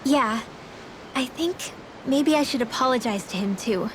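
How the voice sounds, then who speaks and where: A woman's voice: high-pitched, unhurried, a little hiss or hum, poor audio. A woman playing an earnest young girl in a fantasy video game, with a hesitant, confessional manner. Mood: remorseful, hesitant.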